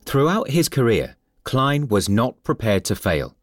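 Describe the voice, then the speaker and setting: A man reading a scripted story as narrator, in a measured storytelling manner. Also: medium pitch, very animated, no room sound.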